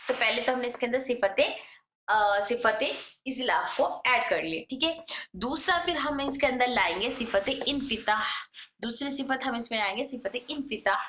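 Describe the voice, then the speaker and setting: A woman leads a recitation lesson, patiently repeating each sound and phrase. Her voice sits a little high and sounds bright and crisp. The room around her adds a clear echo. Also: moderate pace, a little hiss or hum. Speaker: Quran recitation teacher. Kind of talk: tajweed lesson.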